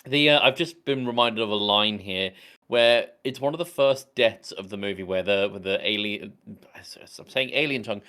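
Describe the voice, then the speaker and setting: A man's voice: on the low side, moderate pace, sharp tone. A man co-hosting a casual film podcast with jokey, sweary banter. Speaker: podcast co-host.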